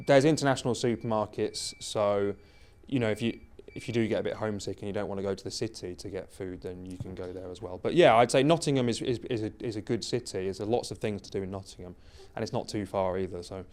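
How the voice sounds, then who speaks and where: A man's voice: lowish voice, fast, hardly any pauses, darkish tone. A man who teaches English, chatting casually and rambling with many restarts. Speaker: English lecturer. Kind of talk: casual chat. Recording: faint background noise.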